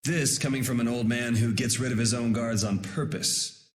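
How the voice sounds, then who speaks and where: A man's voice: medium pitch, fairly even intonation, normal speed, slight background noise, natural voice. Man playing a cool, sardonic young rebel. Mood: dry, challenging. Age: young adult. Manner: casual, dry, sardonic. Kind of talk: dramatic video game voice acting. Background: background music.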